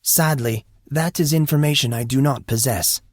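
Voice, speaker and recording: A man's voice: mid-range voice, moderate pace, dry recording, studio quality, voice pushed up, natural voice. A man voicing an amused, courteous school employee who speaks formally and precisely, patiently explaining. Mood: dryly amused.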